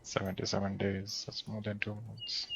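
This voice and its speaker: A man's voice: fairly low pitch, monotone, moderate pace, creaky, dull audio, background noise. A man doing casual gameplay commentary as a streamer, muttering to himself and reading quest dialogue aloud. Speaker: video game streamer. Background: game music.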